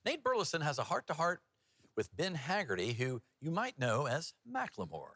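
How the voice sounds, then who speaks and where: A man's voice: on the high side, huge pitch swings, moderate pace, a bit bright, no room sound. An American man anchoring a local TV newscast in an upbeat, chatty manner. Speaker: TV news anchor. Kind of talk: local TV newscast reading with casual banter.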